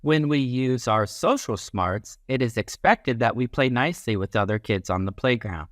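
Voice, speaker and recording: Animated man reading aloud to children. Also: medium pitch, dry recording, clean studio sound. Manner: instructive, with drawn-out emphasis on key phrases. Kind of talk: expressive children's read-aloud.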